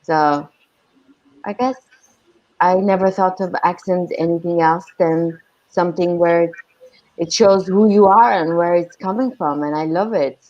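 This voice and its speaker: A woman from Iran with an Armenian accent, co-hosting a podcast and chatting casually over a video call in a plain-spoken, slightly halting way. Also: on the low side, a little mellow, muffled recording. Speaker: podcast co-host.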